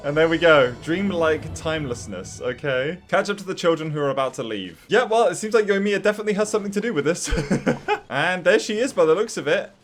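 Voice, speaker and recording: A woman's voice: lowish voice, expressive, fairly quick. A woman streamer giving casual, amused gameplay commentary in short murmured reactions. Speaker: video game streamer. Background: game music.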